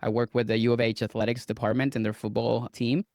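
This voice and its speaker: A man's voice: medium pitch, level tone, fast talker, darkish tone, dry recording, studio quality. A man, a guest dietitian who tells his story earnestly and sometimes repeats himself. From Venezuela. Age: 30s. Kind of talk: interview answers.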